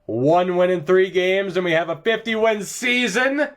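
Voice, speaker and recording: Young man, higher voice, voice going hoarse; upbeat jokey game commentary. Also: unhurried. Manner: excitable, jokey, laughs often. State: losing his voice. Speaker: gaming YouTuber. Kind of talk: casual gameplay commentary.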